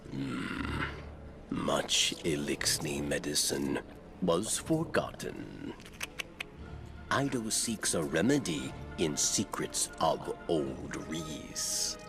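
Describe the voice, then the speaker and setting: A shrewd old alien scribe for a video game, over music. The voice is extremely low and gravelly, swooping through very slow, halting phrases. He sounds like a loyal, clipped-spoken counselor. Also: big pitch swings, heavily acted. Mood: measured, scheming. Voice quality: very deep, creaky voice. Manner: halting, clipped phrases, third-person self-reference. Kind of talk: dramatic video game cutscene acting.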